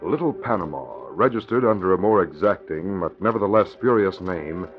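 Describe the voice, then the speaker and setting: An actor serving as the formal, measured narrator of a 1940s radio crime serial. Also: male voice, sits low, animated, soft background music. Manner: measured, formal announcer delivery. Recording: muffled vintage radio recording.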